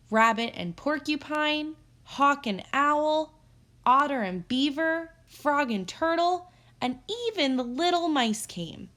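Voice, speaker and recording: A woman teacher reading a story aloud for children, expressive and instructive, pausing to pose guiding questions. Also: on the high side. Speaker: elementary teacher.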